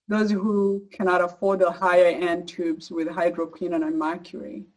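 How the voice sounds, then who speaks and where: A woman's voice: fairly low pitch, darkish tone, rough recording. Casting: woman, East African accent, earnest advocate delivering a partly read personal speech. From Uganda. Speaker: advocate speaker sharing a personal story.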